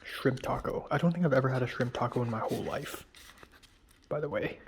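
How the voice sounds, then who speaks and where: A young man talks casually to his camera, chatty and unhurried. His voice is a bit raspy after a long phone call, sitting at an average pitch. A faint hiss runs under the recording. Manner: relaxed, chatty, drifting between soft murmurs and louder remarks. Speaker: vlogger. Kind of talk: casual food-tasting vlog chat.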